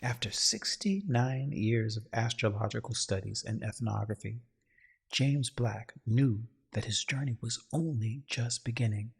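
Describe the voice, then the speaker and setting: A husky-voiced man reading a dramatic scripted story in a solemn, grandiose way. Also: medium pitch, expressive, measured pace. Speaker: narrator.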